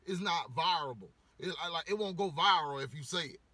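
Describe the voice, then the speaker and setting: A man ranting casually to camera, a little hoarse from losing his voice, rambling with lots of "you know" and swearing bluntly. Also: a little high, brisk, bright, forceful tone, no echo. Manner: rambling, repeats words, frequent "you know". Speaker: vlogger giving opinions.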